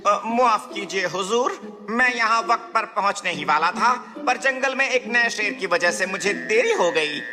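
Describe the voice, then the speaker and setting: A man voicing a small, brave and crafty rabbit who speaks humbly and politely in an animated fable. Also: high voice, measured pace, crowd noise. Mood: deferential, sly. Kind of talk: animated children's fable acting. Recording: long reverb, music behind.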